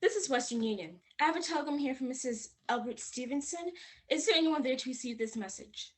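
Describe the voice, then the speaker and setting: An actress playing a businesslike telegraph operator, measured and impersonal, in a 1940s radio drama style. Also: female voice, sits high, lively intonation. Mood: formal, detached. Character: formal, businesslike female telegraph operator reading messages.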